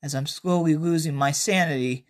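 A man's voice: fairly high pitch, moderate pace. A man reading his own short horror story aloud as a dramatic first-person narrator.

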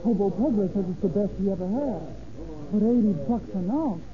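A woman's voice: mid-range voice, lively intonation, brisk, a crowd in the background, natural voice. A woman plays a streetwise American dame in a 1930s radio crime drama. She is brassy and slangy. The recording is tinny and narrow, with other voices murmuring behind. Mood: brash, bargaining. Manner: slangy, brash.

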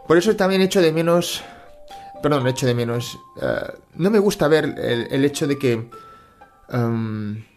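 A middle-aged Spanish man from Catalonia speaks in a thoughtful, hesitant monologue with many fillers and restarts, as host of his personal finance channel. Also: medium pitch. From Spain (Catalonia). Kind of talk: reflective casual monologue.

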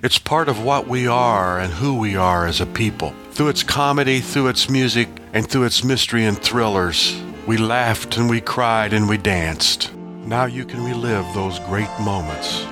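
Nostalgic male promo announcer over prominent music. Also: on the low side. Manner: measured, nostalgic. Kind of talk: scripted promotional narration.

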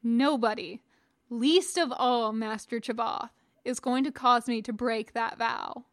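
A woman voicing a calm, firm man who mentors a young apprentice, speaking in a measured way. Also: on the high side, no echo, clean studio sound. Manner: measured, steady and stern.

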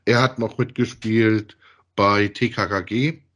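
A man's voice: on the low side, fairly even intonation, measured pace, fairly bright tone. A man chats casually as a podcast co-host, in colloquial phrases with frequent little tags seeking agreement. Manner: colloquial, often tags sentences with "ja". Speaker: co-host of a podcast about radio plays. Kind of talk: casual conversational chat.

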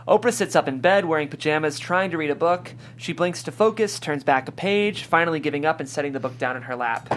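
Female narrator for a script read; lowish voice, reads scene directions, slight noise behind. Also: brisk, few pauses. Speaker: stage-direction reader.

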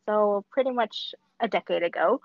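A woman's voice: mid-range voice, normal speed, no room sound. Chatty woman guest on a lo-fi call. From Hong Kong. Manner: chatty, many fillers and restarts. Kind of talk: casual remote interview.

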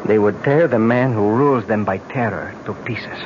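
A man playing a courteous, solemn young prince in danger, speaking with measured politeness in a 1970s radio drama. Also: on the low side, close to an everyday voice. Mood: grave. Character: grave, courteous young prince marked for death. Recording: muffled, very noisy old radio recording.

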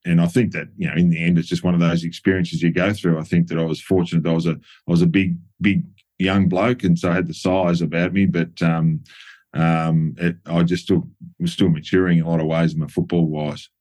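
A middle-aged Australian man from New South Wales, a former footballer, reminiscing in a relaxed interview with frequent ums and restarts. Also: low voice, brisk. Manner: many fillers and restarts, chuckles at stories.

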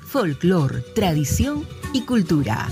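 A woman announcer reading a recorded program outro in a slow, deliberate way. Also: fairly low pitch, sing-song. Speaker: station announcer. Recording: voice over strong mandolin music.

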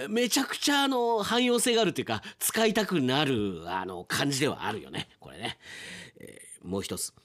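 A man hosting a radio aftertalk, reading listener mail in casual chat and reacting with amused asides. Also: medium pitch. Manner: animated, reacts with amused asides to what is read.

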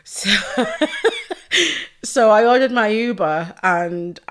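A woman hosting her own podcast alone, hoarse and losing her voice, chatting casually, swearing freely and laughing often. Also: on the high side, huge pitch swings, drawn-out words, fairly bright tone. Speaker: podcast host. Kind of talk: casual solo podcast chat.

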